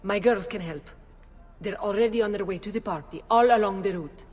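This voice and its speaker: A woman's voice: medium pitch, a little fast, a little mellow, big-room sound, lightly acted. An actress playing a composed, shrewd female ally in a game cutscene. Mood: helpful. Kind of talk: video game cutscene acting.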